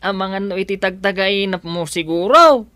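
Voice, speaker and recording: A woman's voice: medium pitch, lively intonation, a little slow, fairly bright tone, dry recording. A woman with an Ilocano accent playing a playful, bantering young woman in a radio drama. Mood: teasing.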